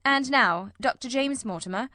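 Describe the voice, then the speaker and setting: Woman doing a clever dry detective man for an audiobook, sure of himself, brisk n precise, no room echo. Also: fairly high pitch, pushed and bright, voice pushed up. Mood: dryly amused. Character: shrewd, self-assured, dryly witty male detective. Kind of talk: audiobook character voice.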